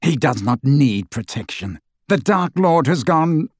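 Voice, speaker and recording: A man's voice: a little high, big pitch swings, unhurried, slightly rough, dry recording, clean studio sound, full character voice. A man voicing an anguished, bitter middle-aged wizard in an audiobook, clipped, intense and contemptuous. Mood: tense protest. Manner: tormented, clipped, contemptuous delivery.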